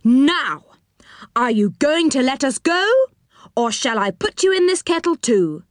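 A woman's voice: fairly high pitch, lively intonation, slow, dry recording, studio quality, pitched above the performer's own voice. A woman voicing a bold, fearless male storybook adventurer who bluffs with a magician's authority. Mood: bold bluffing.

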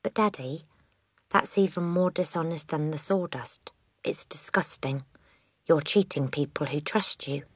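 A woman's voice: deep voice, unhurried, slightly rough, no echo, studio quality. A woman voicing an earnest, bookish little girl in a storybook, speaking in a restrained, disapproving manner. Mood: reproachful. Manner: quiet, restrained, reproachful.

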